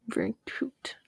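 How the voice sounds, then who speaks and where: A woman in an improvised intimate roleplay, talking softly and affectionately to a partner in a rambling, often breathy, near-whispered way. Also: no room sound, studio quality. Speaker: audio roleplay storyteller.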